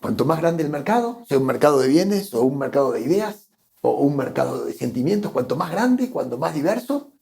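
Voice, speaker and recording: A man's voice: a little high, big pitch swings, fairly quick, creaky, dark tone. A man from Argentina with an Argentine accent, speaking as a guest economist in a conversational interview, thoughtful and often repeating words while he searches for the phrase. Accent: Argentine Spanish.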